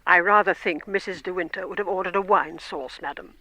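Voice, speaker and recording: A severe, formal English housekeeper. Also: female voice, on the low side, pushed and bright. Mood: icily deferential. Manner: formal, measured, icily polite. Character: gaunt, severe older housekeeper, coldly formal and deferential. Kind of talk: radio drama acting.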